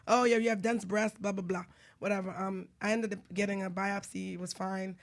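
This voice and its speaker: A woman's voice: medium pitch, level tone, a little fast. A woman hosting a radio show, chatting and asking questions in a candid, informal and conversational way. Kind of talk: casual conversational interviewing.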